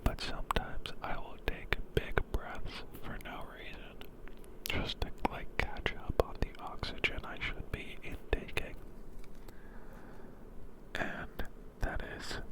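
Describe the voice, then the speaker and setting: An ASMR creator at home, whispering softly in a meandering ramble, with a sore throat and a sniffly nose, into a handheld field recorder. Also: poor audio. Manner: soft, meandering, unhurried whisper. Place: home, indoors.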